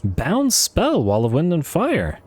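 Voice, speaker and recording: A man thinking aloud over a strategy game, a little hoarse from losing his voice, with frequent fillers and restarts. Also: fairly high pitch, wildly swooping pitch, slow, a little mellow, soft background music. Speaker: let's play host. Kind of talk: casual gameplay commentary.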